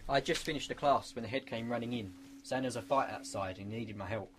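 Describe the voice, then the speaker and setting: A man's voice: medium pitch, fast talker, natural voice. A man playing a level-headed, earnest teacher turned apocalypse survivor in a radio drama. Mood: sombre. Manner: earnest, encouraging.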